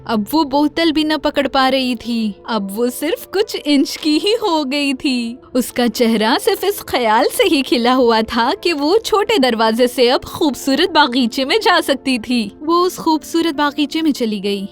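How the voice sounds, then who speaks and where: A woman narrating a scripted children's fairy tale in an expressive storytelling manner. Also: high voice, few pauses.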